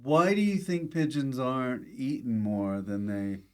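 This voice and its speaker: Halting, deadpan male podcast host. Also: mid-range voice. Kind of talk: casual comedic interview.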